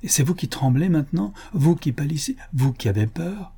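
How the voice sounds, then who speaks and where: A man's voice: medium pitch, a little fast, warm tone, voice pushed up, close to an everyday voice. A man reading the part of an anguished young woman who pleads and begs in a classic novel audiobook. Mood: pleading. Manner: desperate, imploring.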